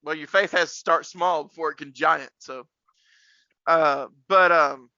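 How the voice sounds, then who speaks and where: Guy with a high voice chatting about faith, repeats himself for emphasis, super dry kinda dull recording. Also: steady tone, a little slow, sharp tone, no room sound. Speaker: podcast host and preacher. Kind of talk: casual faith conversation.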